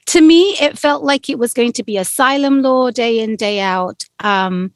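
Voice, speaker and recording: Woman, 40s, London accent, animated guest storyteller, bright-leaning voice. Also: a little high, normal speed, no echo, studio quality. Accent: London English. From London, England. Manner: warm, animated storytelling with laughs. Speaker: immigration lawyer, guest. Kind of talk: casual interview talk.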